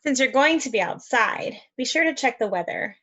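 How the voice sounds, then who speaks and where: A woman reading a picture book aloud to young children, expressive and encouraging, with conversational asides. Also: sits high, normal speed, lo-fi sound. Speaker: read-aloud host for children.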